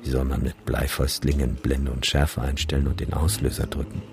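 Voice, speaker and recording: An actor playing a cynical, bleak photographer and disaster witness in a radio drama, sardonic and matter-of-fact. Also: male voice, rumbling low, level tone, fairly quick, vocal fry. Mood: grim, matter-of-fact. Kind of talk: radio drama monologue and dialogue.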